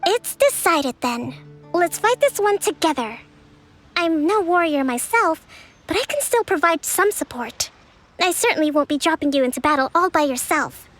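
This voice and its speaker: A poised young female strategist lays out plans in a measured, analytical way. Her voice sits very high but stays composed and even. Faint game music plays behind her. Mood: reassuring. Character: calm young female military strategist and priestess. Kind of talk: video game dialogue.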